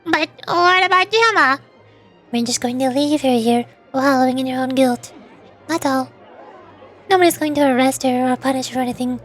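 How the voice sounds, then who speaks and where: A woman's voice: high-pitched, moderate pace, a bit bright, faint music behind, some chatter behind. A woman reading the dialogue of a game story aloud.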